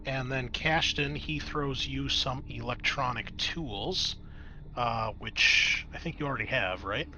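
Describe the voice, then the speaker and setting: A man's voice: mid-range voice, takes it slowly, bright, forceful tone, a little hiss or hum, rough recording. A man acting as game master, explaining a tabletop roleplaying scene in a casual, conversational way.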